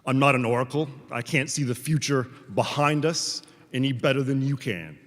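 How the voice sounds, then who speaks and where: A man delivers a formal commencement address as a professor, speaking in measured, deliberate phrases with rhetorical pauses. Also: medium pitch. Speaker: professor giving a commencement speech. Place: large hall at a graduation ceremony. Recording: reverberant large hall, amplified speech.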